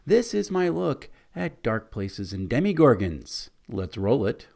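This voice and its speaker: Male reviewer, hoarse and scratchy, easygoing and conversational, mid pitch. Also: sing-song, measured pace, music in the background. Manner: conversational, mildly critical. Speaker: game reviewer. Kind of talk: casual product review.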